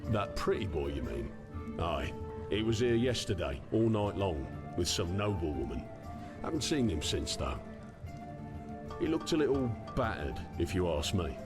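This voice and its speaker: A man's voice: low-pitched, big pitch swings, normal speed, music in the background. An actor playing a folksy, gossipy working townsman in a fantasy video game, informal, knowing and matter-of-fact. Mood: casual, knowing. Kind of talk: video game character dialogue.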